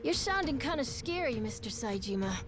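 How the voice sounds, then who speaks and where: A woman voices an innocent young boy in a video game pirate drama. The voice is very high and lively in pitch, eager and wide-eyed. Music plays clearly behind it. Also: a little fast. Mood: confused, worried.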